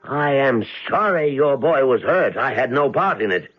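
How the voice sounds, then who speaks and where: An actor playing a defensive, easily indignant philosophy professor in an old-time radio drama, precise and stiffly polite. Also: male voice, mid-range voice, animated, normal speed, close to an everyday voice. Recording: dull lo-fi radio drama recording.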